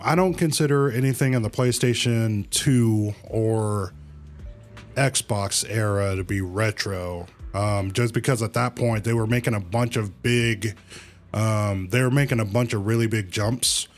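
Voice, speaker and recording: A man hosting a livestream, chatting casually with viewers in a halting way, thinking aloud and repeating his phrases. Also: fairly low pitch. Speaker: livestream host. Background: music.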